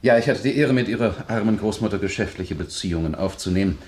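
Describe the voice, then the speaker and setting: Man acting a deep-voiced, smarmy salesman. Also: quick pace, darkish tone, slight background noise, lightly acted. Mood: unctuous. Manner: stilted, obsequious, flowery politeness with hesitations. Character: smarmy, pushy male land buyer feigning condolence. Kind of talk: German radio crime drama acting.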